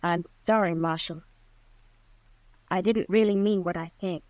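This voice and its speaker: A woman's voice: lowish voice, steady tone, a little slow, darkish tone, dry recording, natural voice. An actress playing an anxious, loyal frontier woman in an old-time radio western, earnest and pleading. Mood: contrite.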